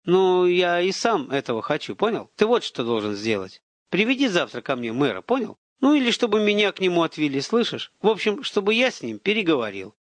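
Male reader as defiant young captive, coarse, curt, snapping, somewhat high pitch, dry audiobook sound. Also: very animated, a little fast, no room sound. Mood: urgent.

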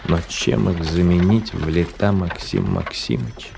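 An actor playing a reserved, polite gentleman traveller who narrates and speaks in a literary radio play, measured and reflective. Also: sits low, big pitch swings, gravelly, exaggerated voice. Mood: wistful reflection. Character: reserved, courteous gentleman traveller telling his story. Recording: noisy old radio drama recording.

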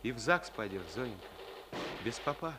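An actor playing a spirited, tender young officer, soldierly and playful, in a 1950s Soviet radio play. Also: male voice, mid-range voice, animated, normal speed, natural voice. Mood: excited, tender. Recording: noisy old radio play, battle effects.

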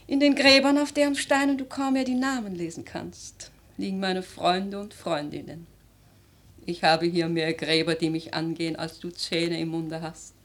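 A woman's voice: on the low side, steady tone, normal speed. An actress playing an old aristocratic grandmother in a radio drama, blunt, dry and commanding. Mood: wry, tart. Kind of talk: theatrical German radio drama.

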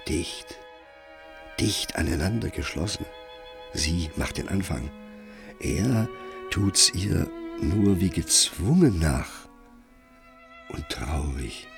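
An actor playing a grave, brooding narrator of a Gothic tale in a radio play, measured and solemn with dramatic emphasis. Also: male voice, low-pitched, gravelly, heavily acted. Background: music.

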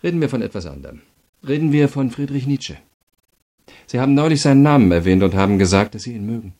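An actor playing a calm, insistent state prosecutor who questions a prisoner in a measured way, in a radio crime drama. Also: male voice, mid-range voice. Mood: insistent, challenging.

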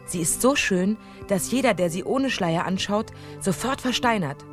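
Haughty, animated fairy-tale lady, acted by a woman. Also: mid-range voice, moderate pace, close to an everyday voice. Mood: impatient, haughty. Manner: snappy, scornful. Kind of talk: German radio play acting. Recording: studio radio play with music behind.

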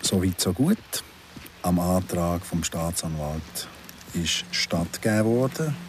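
A low-pitched Swiss German man in his thirties, just out on parole and bitter about it. He narrates his radio drama with slow sardonic deliberation over faint traffic noise. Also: animated. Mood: bitter, insistent. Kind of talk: first-person radio drama monologue.

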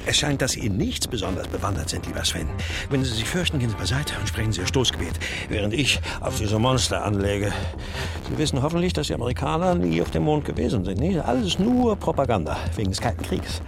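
A man's voice: mid-range voice, sing-song, fast talker, gravelly, over music. A man in a radio drama playing a pompous, fiery conspiracy crusader who lectures in grand, formal phrases. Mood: condescending. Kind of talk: German radio drama acting.

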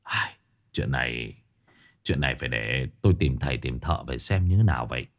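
A man voicing an older village husband who speaks low, hushed and gruff. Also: lively intonation, moderate pace. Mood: uneasy.

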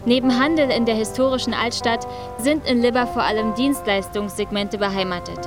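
An actress playing a composed female reporter, narrating and interviewing in a measured, factual way for a radio drama. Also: fairly high pitch, music in the background, lightly acted.

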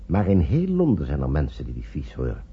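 An actor playing a measured, guarded male physician in a radio drama, speaking deliberately. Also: extremely low pitch, wildly swooping pitch, breathy edge, full character voice. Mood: grave, confiding. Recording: muffled old radio recording.

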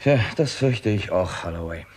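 Deep-voiced, sober police investigator. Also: male voice, expressive, moderate pace, darkish tone, slightly rough. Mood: probing, sober. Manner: probing, businesslike, controlled. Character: sober senior police investigator questioning a witness. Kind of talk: 1960s German radio crime drama acting.

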